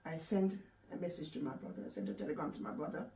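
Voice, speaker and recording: A woman from Jamaica with a Jamaican accent, recounting her life story in a soft, reflective way with halting restarts. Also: low-pitched, sing-song. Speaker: interview guest. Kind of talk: personal storytelling in an interview. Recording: echoey room, distant mic, faint background noise.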